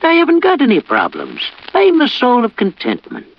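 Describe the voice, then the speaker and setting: A man's voice: extremely high pitch, wildly swooping pitch, moderate pace, creaky, exaggerated voice. A male actor playing a pompous, contented officer in a comic radio commercial. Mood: smug. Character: pompous, contented talking beer mug police officer. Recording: dull old radio recording.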